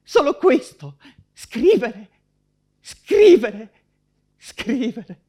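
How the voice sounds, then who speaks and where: An actress playing an anguished, feverish persecuted writer and mother in an intense theatrical radio monologue, halting and repeating her words. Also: fairly high pitch, big pitch swings, warm tone, breathy edge, exaggerated voice. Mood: pleading, defensive. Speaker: stage actress.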